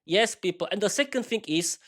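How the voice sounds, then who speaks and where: Man in his 30s, native Slovak, enthusiastic podcast host, halting speech, repeats words. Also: sits high, animated, a bit bright. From East Slovakia. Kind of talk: improvised solo podcast monologue.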